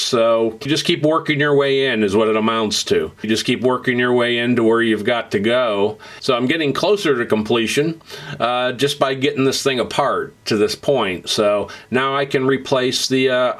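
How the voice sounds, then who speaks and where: A man narrating an equipment repair in an unhurried, plain-spoken way, with frequent fillers and restarts. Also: medium pitch, fairly bright tone. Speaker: repair hobbyist narrating a restoration. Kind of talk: unscripted instructional voice-over.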